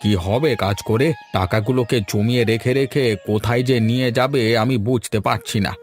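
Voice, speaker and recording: A man's voice: medium pitch, fairly even intonation, faint music behind, natural voice. Man acting a grumpy, badgering old cartoon father. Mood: grumbling, impatient. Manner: badgering, insulting, drawn-out delivery.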